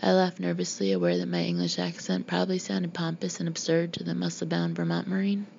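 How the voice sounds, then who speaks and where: A woman narrates a memoir in the manner of an audiobook reader. Her voice sits on the low side with a lot of gravelly fry. The recording is a dull, homemade-sounding one. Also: animated, a little fast, a little mellow. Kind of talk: reading a memoir aloud, scripted narration. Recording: dull lo-fi home recording, background noise.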